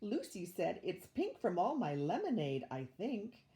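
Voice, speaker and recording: A woman's voice: medium pitch, huge pitch swings, unhurried, dark tone. An older woman voicing proud young children in a storybook, chiming in to explain matter-of-factly.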